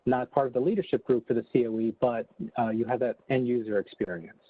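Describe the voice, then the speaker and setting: A man's voice: mid-range voice, fairly even intonation, fast. A man hosting a virtual panel, introducing the guests with many hesitant fillers. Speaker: panel host. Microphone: video call. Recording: tinny phone-like video call audio.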